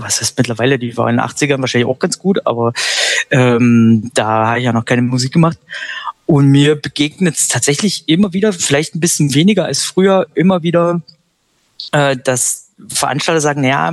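A man's voice: fairly high pitch, normal speed, no echo. A man whose first language is German, a band's singer and lyricist, talking in a casual interview in a reflective, somewhat hesitant and self-deprecating way.

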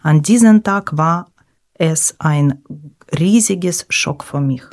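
Slow-speaking middle-aged woman, Ukrainian accent. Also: low-pitched, a little mellow. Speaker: guest, former teacher. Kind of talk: personal recollection.

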